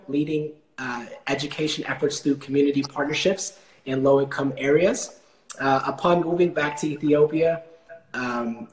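A young man from Texas hosting a casual interview, relaxed and hesitant, with many fillers. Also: on the high side, echoey room, a little hiss or hum, poor audio. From Dallas, Texas. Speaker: podcast host.

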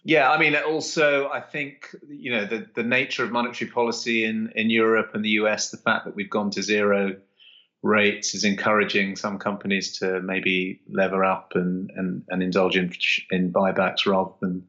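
A man's voice: fairly low pitch, little pitch movement, normal speed, pushed and bright. A man hosting an interview, hesitant and affirming, often repeating his words as he asks questions. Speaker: interview host. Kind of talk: conversational market interview. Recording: dull lo-fi audio.

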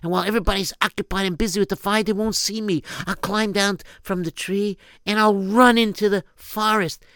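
A man's voice: high-pitched, steady tone, brisk, few pauses, fairly bright tone, clear, resonant tone, dry recording, voice pushed up. Guy doing a young woman escaping, earnest and prayerful, dead dry mic. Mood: eager.

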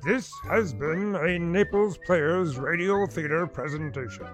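Higher-voiced male announcer over music. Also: measured pace. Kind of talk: scripted closing announcement. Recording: clear music behind the voice.

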